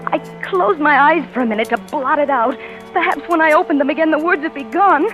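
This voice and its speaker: Casting: a scared young wife, high voice, stammering, old radio with music. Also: female voice, fairly quick, fairly bright tone. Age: young adult. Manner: stammering, breathless narration. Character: frightened young wife, lost and bewildered. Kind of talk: 1940s radio acting.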